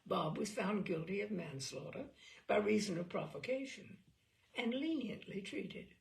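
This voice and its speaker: A woman's voice: medium pitch, a little slow, creaky. A woman reading a story aloud with dry, wry storytelling. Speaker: reader of stories.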